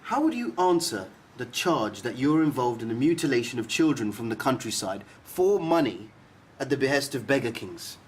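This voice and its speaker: An actor playing a polite but dogged documentary journalist with a halting manner, in a naturalistic radio drama. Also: male voice, medium pitch, lively intonation, close to an everyday voice. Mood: probing, accusing. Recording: noisy background ambience.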